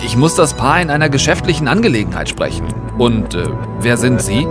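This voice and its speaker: An actor playing an anxious, deferential male employee in an audio drama, speaking haltingly. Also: medium pitch, lively intonation, over loud music, natural voice. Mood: urgent. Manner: halting, nervous. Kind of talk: German audio drama acting.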